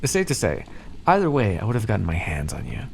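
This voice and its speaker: A man's voice: on the low side, huge pitch swings, fast, vocal fry, darkish tone, slight background noise, heavily acted, low-quality recording. A man voicing a smug, playfully menacing vampire captor in an ASMR roleplay, speaking to the listener in a theatrical, condescending and teasing way. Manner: condescending, theatrical, teasing drawl with pet names.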